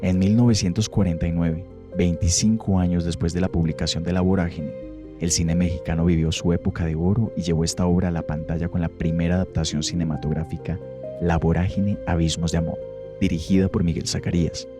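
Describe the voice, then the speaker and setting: A man reading scripted documentary narration in a steady, formal way. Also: low-pitched, a little fast, music in the background. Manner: steady, formal, even delivery.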